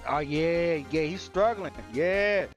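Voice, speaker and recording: A man streams a video game with casual running commentary. He reads dialogue aloud and reacts to it in everyday talk. Game music is clearly audible behind his voice. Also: fairly high pitch, slow.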